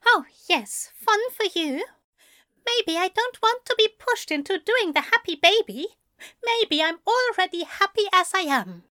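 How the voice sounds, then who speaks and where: A fussy, indignant man in an audio story, voiced by a woman. The voice sits very high and swoops expressively from note to note. He protests primly, as a reluctant pupil would, in a close, dry sound. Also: very animated, unhurried, sharp tone, no echo, heavily acted. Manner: prim, protesting. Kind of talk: acted audio fiction reading.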